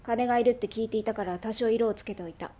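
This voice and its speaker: A woman playing a brash, bossy underworld boss in a radio drama, with rough, masculine, commanding speech. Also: a little high, fast talker, mellow, slight background noise, close to an everyday voice. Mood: businesslike.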